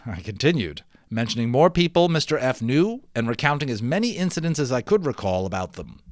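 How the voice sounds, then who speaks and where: A man's voice: mid-range voice, big pitch swings, a little fast, no room sound, clean studio sound. A man giving a dramatic storybook read-aloud of a children's novel, with theatrical, emphatic phrasing.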